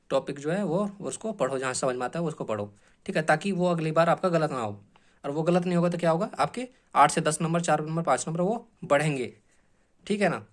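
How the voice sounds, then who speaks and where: A man giving informal exam-prep instruction as a coaching teacher, explaining step by step with frequent short check-in tags and English terms mixed in. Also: on the high side. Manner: explanatory, repeatedly checks in with short tag phrases; mixes English terms into speech.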